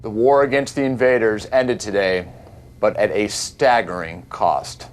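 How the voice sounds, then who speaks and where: A man playing a formal television news anchor in a game cutscene, with measured, emphatic delivery. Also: on the low side, natural voice. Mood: somber.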